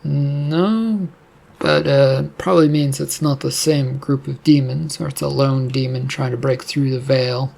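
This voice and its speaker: A man's voice: medium pitch, fairly even intonation, unhurried, creaky, a little mellow. A man voicing a firm, matter-of-fact male demon hunter.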